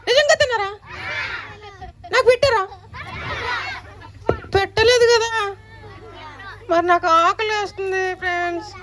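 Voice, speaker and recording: Casting: a tiny, hungry, whining puppet kid, piercingly high, bantering at a kids' show with light crowd noise behind. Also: a bit bright. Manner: pleading, repetitive, calls out to the children. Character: hungry, chatty, whining child puppet named Cherry.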